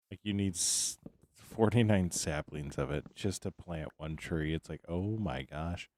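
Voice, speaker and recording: A man with a deep, low voice chatting casually as a game streamer, musing and repeating himself as he thinks aloud. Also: animated, takes it slowly, creaky, darkish tone. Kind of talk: casual chat while playing.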